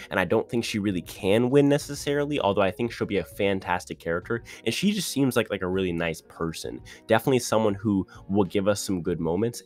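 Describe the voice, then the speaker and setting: A man giving opinionated, ranting commentary on a reality show contestant in a casual monologue. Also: deep voice. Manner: opinionated, ranting, quick with restarts.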